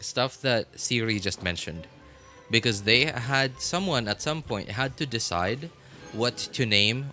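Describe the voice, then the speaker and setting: A man's voice: on the low side, sharp tone. A man chatting casually and at length as he livestreams a game. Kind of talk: casual rambling stream chat.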